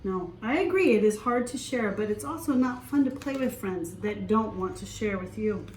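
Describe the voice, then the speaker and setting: A woman storytime teacher reading aloud to preschoolers, expressive, with questions for the young listeners. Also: mid-range voice, normal speed, echoey room, recorded from a distance, a little hiss or hum, low-quality recording.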